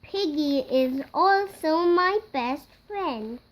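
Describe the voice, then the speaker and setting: A five-year-old child reading a picture book aloud in a halting, word-by-word way, acting out the parts. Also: high voice, animated, a bit bright, poor audio. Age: child, five years old. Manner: slow, halting word-by-word reading with acted-out sneezes.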